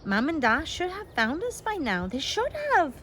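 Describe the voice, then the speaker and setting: A woman's voice: high voice, sing-song, moderate pace, a bit bright, a little hiss or hum, voice pushed up. A woman voicing a frightened, hysterical little sister in a read-aloud storybook, pleading tearfully. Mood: sobbing despair.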